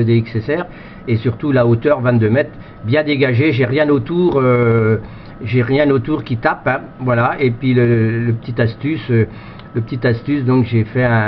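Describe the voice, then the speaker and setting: Man rambling over muffled, noisy radio. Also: mid-range voice. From France. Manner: rambling, repetitive phrasing. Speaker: amateur radio operator. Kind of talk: casual radio contact chat.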